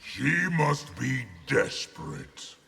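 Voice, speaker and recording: A man's voice: mid-range voice, big pitch swings, very slow, gravelly, over loud music, full character voice. A man playing a proud, stern rebel general in a video game, haughty and declamatory. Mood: haughty, menacing. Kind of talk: video game character dialogue.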